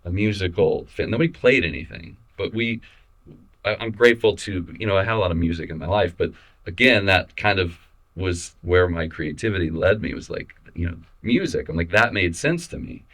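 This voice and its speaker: A young adult man from Missouri, a photographer talking casually in an interview, relaxed and halting with many restarts and fillers. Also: low voice. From Missouri, USA. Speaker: photographer guest.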